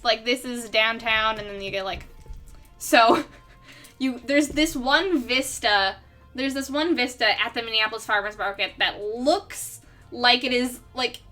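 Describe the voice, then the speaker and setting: A woman's voice: fairly high pitch, sharp tone. A young woman streaming her art, chatting casually with viewers in a rambling way, full of fillers and frequent laughter. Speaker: artist streamer. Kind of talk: casual livestream chat with viewers. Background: faint background music.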